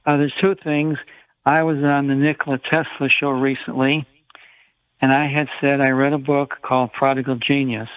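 An older man, in his sixties or beyond, phoning a radio show with a casual opinion in a halting, repetitive way. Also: mid-range voice, flat delivery, no echo. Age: 60s or older. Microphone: telephone. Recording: thin, narrow phone line.

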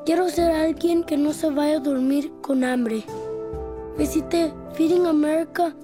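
A woman reading a scripted charity ad in a soft, earnest, measured way. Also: sits high, music in the background. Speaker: ad voice-over.

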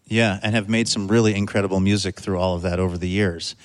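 A man's voice: sits low, steady tone, quick pace. A man hosting a music show, introducing a guest and asking long, rambling, friendly questions. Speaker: radio show host. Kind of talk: interview hosting.

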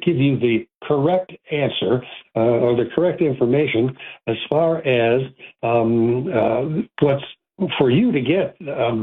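Chatty rambling radio host, tinny. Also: male voice, mid-range voice, husky. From Rochester, New York. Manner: rambling, self-correcting, chatty. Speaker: radio show host, antiques auctioneer. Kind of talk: casual call-in radio talk.

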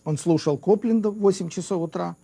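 A man's voice: high voice, brisk, gravelly, warm tone, husky. A man in a theological debate, raising polite objections and pressing questions.

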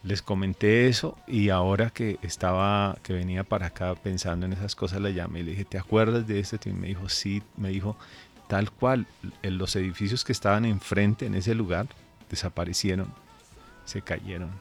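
Pastor guest, male, very low voice, earnest measured storytelling, light music behind. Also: steady tone, creaky. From Colombia. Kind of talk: radio interview, storytelling.

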